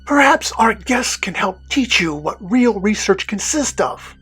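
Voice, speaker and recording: A husky, imperious Prince of Darkness played by a woman addresses an underling with formal scorn. The comedy podcast skit has music running under the voice. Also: medium pitch, big pitch swings, measured pace, a bit bright, heavily acted. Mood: stern, condescending. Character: haughty, scornful Prince of Darkness, demanding master.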